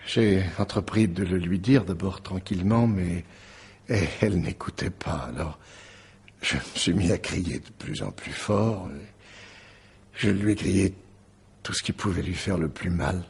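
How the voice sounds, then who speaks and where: An actor playing a tormented, bitter lover in a French radio drama, confessing in a husky, gravelly, brooding voice. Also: male voice, deep voice, unhurried, darkish tone, full character voice. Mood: bitter confession.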